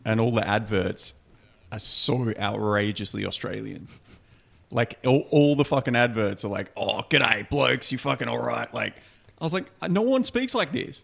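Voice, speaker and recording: A man's voice: medium pitch, normal speed, gravelly, fairly bright tone. A man hosting a podcast in casual banter, talking bluntly and swearing freely. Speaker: podcast host.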